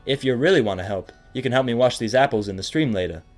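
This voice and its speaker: A man's voice: medium pitch, quick pace, faint music behind, lightly acted. A man voicing an easygoing, practical female farm pony with an in an audio drama. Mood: friendly. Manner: folksy, reassuring.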